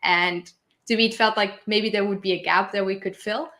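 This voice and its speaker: A woman you'd hear as a guest on a relaxed creator interview, sharing personal experiences. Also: mid-range voice, moderate pace. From Portugal. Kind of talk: casual chat, personal storytelling.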